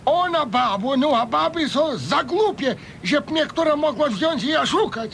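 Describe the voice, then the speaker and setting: An actor playing a grumpy, opinionated old bachelor pensioner in a radio comedy, with a folksy Podlasie accent from eastern Poland. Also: male voice, expressive, normal speed, a bit bright, full character voice. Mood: scornful, grumbling. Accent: eastern Polish, Podlasie regional. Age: older. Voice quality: creaky, high-pitched. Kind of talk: radio comedy serial acting. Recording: rumbly, noisy radio recording.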